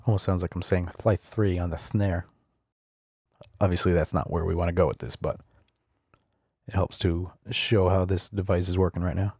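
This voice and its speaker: A man narrating a screencast software tutorial in a casual, explanatory way, close to a studio microphone. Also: deep voice, fairly even intonation, normal speed, pauses often, breathy edge, no room sound. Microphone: close studio voice-over microphone.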